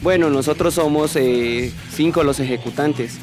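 A man's voice: fairly high pitch, unhurried, music in the background. A man in his thirties with a Colombian accent, a musician guest answering questions in a radio skit, close on a studio mic. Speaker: band bassist, guest. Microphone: close broadcast microphone.

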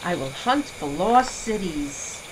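A woman's voice: lowish voice, big pitch swings, slow, noisy background. A woman voicing an imaginative young girl who is eager to play pretend, in a dramatic storybook reading. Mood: excited, imaginative.

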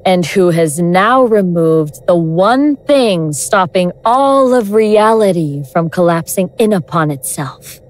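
A woman's voice: on the low side, animated, dry recording. A woman acting in an audio drama as a mocking elder god in the guise of a young woman, teasing and condescending. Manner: slow, teasing, condescending, self-possessed.

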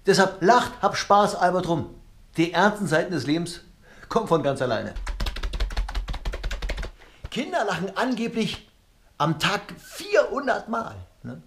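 Male comic, middle-aged, conversational and jokey, a little high in pitch, roomy home-recorded sound. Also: sing-song, a little slow, long pauses, a little hiss or hum. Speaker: comedian and cabaret artist. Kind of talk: comedy monologue to camera. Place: small rooms of a flat, indoors. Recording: echoey room.

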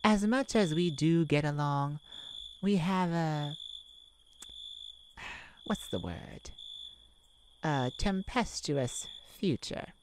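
A woman voicing a playful, flirtatious immortal vampire in an intimate ASMR roleplay, teasing and dramatic with lingering pauses. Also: low-pitched, expressive, darkish tone, slight background noise. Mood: serious, wistful.

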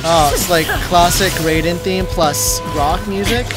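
A woman's voice: lowish voice, a little slow. A woman doing casual, slangy, reactive commentary while playing a video game. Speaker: gaming streamer. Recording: loud game music and effects behind.

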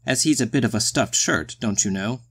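A man voicing a little, timid but cheeky carpenter sidekick in a storybook, squeaky and chatty. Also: fairly quick, a bit bright, husky. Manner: chatty, folksy British turns of phrase. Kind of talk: acted storybook dialogue.

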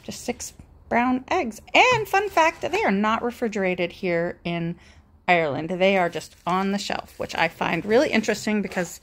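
A woman's voice: mid-range voice, expressive, normal speed, fairly bright tone. Chatty woman showing off groceries. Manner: chatty, rambling, self-deprecating asides. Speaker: vlogger. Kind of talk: casual grocery haul chat. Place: home kitchen table, indoors. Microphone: handheld phone.

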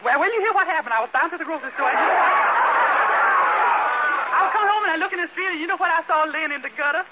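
A man playing an excitable, jokey woman housekeeper in folksy, ungrammatical speech, in 1940s radio comedy style. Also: very high-pitched, very fast, a loud crowd. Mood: jovial. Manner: folksy, ungrammatical, giggly and exclaiming. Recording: noisy muffled 1940s radio, loud audience.

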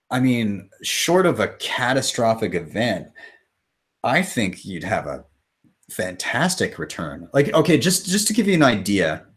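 A man in his thirties answers viewer questions from a small room at home, talking into a webcam with a slightly low voice. His delivery is loose and chatty, and he swears here and there. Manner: loose and chatty, reads viewer comments aloud, swears casually. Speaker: crypto channel host. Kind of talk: live stream Q&A, casual chat.

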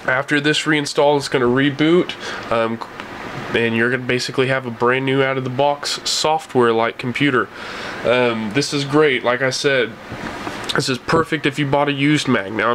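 A man hosting a tech tutorial, explaining steps casually as he goes. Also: medium pitch. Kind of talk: casual step-by-step screen tutorial. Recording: noisy room with steady hum.

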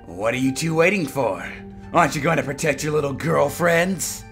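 Man as creaky, sneering anime villain. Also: fairly high pitch, moderate pace, close to an everyday voice. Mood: taunting. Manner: taunting, sneering. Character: cocky, impatient, taunting young anime villain. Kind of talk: fan anime audio drama acting. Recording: music clearly behind the voice.

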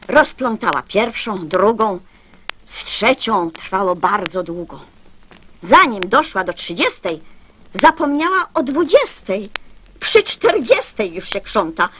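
A woman reciting children's verse as an expressive radio storyteller. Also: medium pitch, a little hiss or hum.

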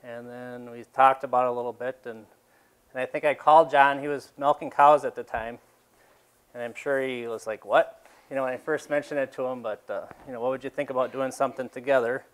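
A man's voice: mid-range voice, steady tone, brisk, clear, resonant tone. A dairy farmer in his fifties giving a casual talk to a seated audience in a large exhibition hall, rambling with fillers and restarts.

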